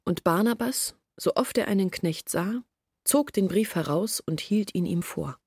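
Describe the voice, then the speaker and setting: A woman's voice: medium pitch, lively intonation, a little slow, darkish tone, husky, dry recording, clean studio sound. An actress playing a troubled young woman who earnestly recounts her family's long hardship in a literary radio drama. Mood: earnest, weary. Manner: earnest, reflective storytelling in long sentences. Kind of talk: German literary radio drama acting, monologue.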